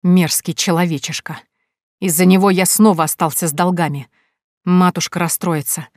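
A woman's voice: lowish voice, steady tone, normal speed, a little mellow, no room sound, lightly acted. A woman voicing a scheming young man in debt who talks in a curt, sneering way. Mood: spiteful. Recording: dry close recording.

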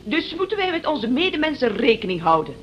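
A woman's voice: high-pitched, big pitch swings, brisk. An actress playing a prim, self-righteous young woman in a Dutch radio play, haughty and disapproving. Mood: reproachful, haughty. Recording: dull, lightly noisy old radio recording.

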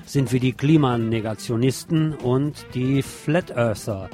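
A man's voice: mid-range voice, measured pace. A man presenting scripted local news with opinionated commentary, in the role of a radio show host. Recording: music playing behind the voice.

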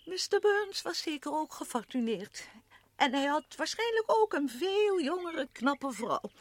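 A woman's voice: high-pitched, very animated, unhurried. An actress playing an agitated, accusing female amateur sleuth in a radio play, speaking emphatically and insistently. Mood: pointed, probing. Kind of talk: Dutch radio play acting.

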